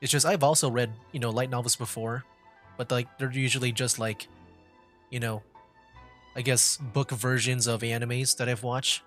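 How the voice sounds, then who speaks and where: A man streams a video game and chats off the cuff in a middling-pitched voice. His phrasing is halting and rambling, with repeated words. Faint game music plays behind him. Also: fairly even intonation, a bit bright. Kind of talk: casual livestream commentary, rambling.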